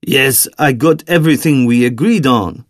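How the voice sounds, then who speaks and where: A man's voice: medium pitch, moderate pace, no room sound, studio quality, natural voice. A man playing a troubled, hesitant middle-aged man in an audiobook, subdued and uneasy. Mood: broken, uneasy.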